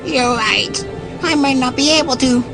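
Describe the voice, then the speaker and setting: Anime dub: woman as small high-voiced boy, timid, sincere, plucky; music underneath. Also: moderate pace. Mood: earnest, determined. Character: small earnest timid boy, brave for a friend. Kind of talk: anime English dub acting.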